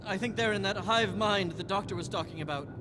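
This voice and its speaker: An actor playing a nightmare-plagued, high-strung writer in a live radio play, fretful and florid in his speech. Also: fairly quick, background noise, lightly acted. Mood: uneasy, apologetic.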